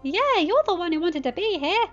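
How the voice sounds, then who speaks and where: A jumpy young dragon boy who blurts his lines in a high, excitable voice, as a woman reader puts him on. Slightly dull recording with faint music. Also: normal speed. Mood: annoyed.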